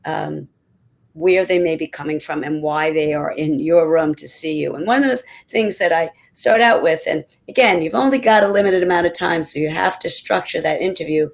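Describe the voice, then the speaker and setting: A woman in her sixties, a doctor on a panel, talking in an energetic, emphatic way. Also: deep voice, normal speed, vocal fry. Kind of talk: panel discussion answer.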